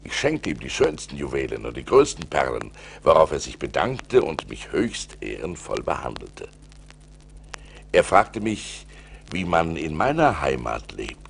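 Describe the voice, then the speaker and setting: An actor playing a seafarer who recounts perilous adventures theatrically and deliberately, in an audio play transferred from vinyl. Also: male voice, very deep voice, creaky, slight background noise, heavily acted. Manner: theatrical, deliberate, swelling with suspense. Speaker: narrator. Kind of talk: dramatic storytelling in an audio play.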